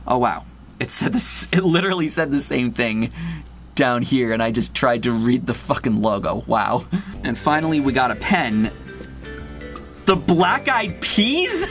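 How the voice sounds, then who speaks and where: A man's voice: medium pitch, sing-song, unhurried, fairly bright tone. A man in his twenties hosting a casual unboxing vlog, chatting and giving his opinions on music as he goes. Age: 20s. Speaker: YouTube host.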